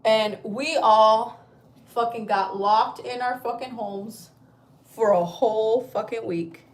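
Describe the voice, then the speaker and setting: A woman's voice: on the high side, slow. A woman in her thirties, from Chile, chatting casually on a livestream from home, rambling and swearing freely. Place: at home, indoors.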